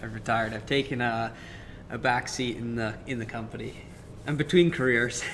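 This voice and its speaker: A man in a casual live chat, answering in a laid-back, dry and halting way. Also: mid-range voice, fairly even intonation, fairly bright tone, a little hiss or hum. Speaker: fitter and company staff.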